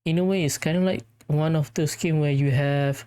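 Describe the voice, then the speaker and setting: A woman giving casual, rambling let's-play commentary as a gaming video host, in a low-key and hesitant manner. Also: fairly even intonation, normal speed, dark tone, clear, resonant tone.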